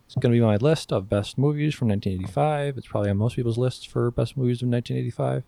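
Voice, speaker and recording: Man, medium pitch, dry close sound, podcast quizmaster, casual, mock-archaic clue reading, firm and teasing. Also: racing, vocal fry, warm tone, dry recording. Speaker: quiz host on a podcast. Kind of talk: casual quiz chat, reading riddle clues in mock-archaic verse.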